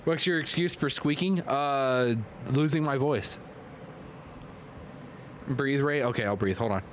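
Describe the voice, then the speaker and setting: A man hosting a live stream and excitedly calling play-by-play sports commentary while losing his voice from a clogged nose. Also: mid-range voice, a little hiss or hum. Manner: excitable, hyped play-by-play with quick asides to chat. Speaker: league commentator and stream host.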